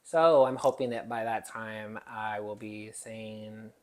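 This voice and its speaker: A woman in her twenties with a Texas accent, chatting casually as a podcast guest about her bartending work, animated and laughing easily. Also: rumbling low, slow. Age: 20s. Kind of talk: casual chat.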